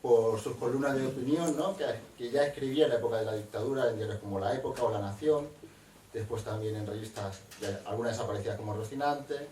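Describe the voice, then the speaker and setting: Middle-aged Spaniard, historian moderating a talk, discursive academic delivery, distant mic in an echoey hall. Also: male voice, mid-range voice, mellow. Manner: measured and discursive, with frequent tag questions. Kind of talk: academic introduction of a guest speaker. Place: university library lecture room. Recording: echoey, distant room recording with faint noise.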